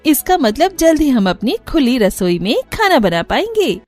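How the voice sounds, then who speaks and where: Eager, high-voiced young village daughter-in-law acted by a woman. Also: sing-song, normal speed, darkish tone. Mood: delighted. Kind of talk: animated Hindi cartoon story acting.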